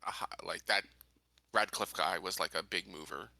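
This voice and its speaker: A man chatting casually as a player in a tabletop game, halting as he talks. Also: deep voice, vocal fry, sharp tone, no echo.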